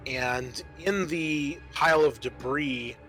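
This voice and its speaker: A man narrating a tabletop roleplaying game as game master, in a halting, descriptive manner. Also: on the high side, sharp tone, faint music behind, rough recording.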